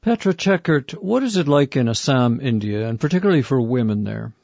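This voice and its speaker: Gravelly-voiced male interviewer. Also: medium pitch, big pitch swings, a little fast, a little mellow, dry recording, lo-fi sound. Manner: conversational, questioning. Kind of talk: radio interview.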